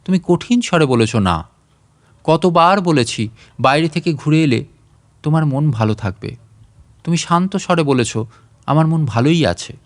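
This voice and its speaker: A man reading a literary story aloud as a narrator, in an expressive, reflective and measured first-person delivery. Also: a little high.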